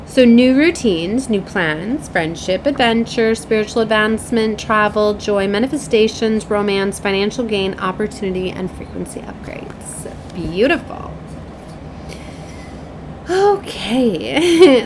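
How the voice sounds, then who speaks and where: A woman reading spiritual guidance aloud as a tarot and oracle card reader, in a solemn, deliberate manner. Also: mid-range voice. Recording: clear background noise.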